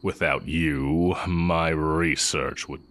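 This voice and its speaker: Very deep, unhurried villainous magic teacher played by a man. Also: steady tone, fairly bright tone, full character voice. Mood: fond, musing. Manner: unhurried, wry, condescending yet affectionate. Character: exiled heretic dark-magic teacher, smug, fond, villainous. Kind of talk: intimate audio roleplay, addressing the listener directly.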